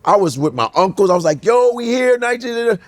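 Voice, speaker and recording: A man co-hosting a podcast, telling comic stories in an animated way and slipping into voices and accents. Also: high voice, a little fast. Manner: lively, slips into voices and accents to act out stories. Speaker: comedian and podcast co-host.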